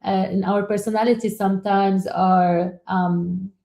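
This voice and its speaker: A woman co-hosting a webinar, talking casually and haltingly, with frequent restarts and repeated words. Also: medium pitch, little pitch movement, creaky, dark tone. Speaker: webinar co-host.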